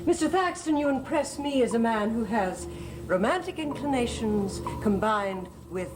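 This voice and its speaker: Woman acting a poised, arch lady with lively intonation. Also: on the high side, noisy background, natural voice. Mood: arch, flirtatious.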